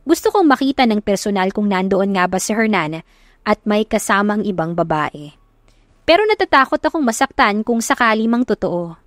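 A woman's voice: mid-range voice, no echo, clean studio sound. A Filipino woman narrating a first-person romance story dramatically, as in an audiobook. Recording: music behind the voice.

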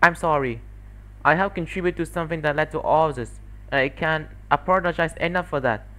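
Visual novel fandub: earnest woman scientist, played by a man, measured explainer carrying guilt, slightly raised pitch. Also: a bit bright, natural voice. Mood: apologetic.

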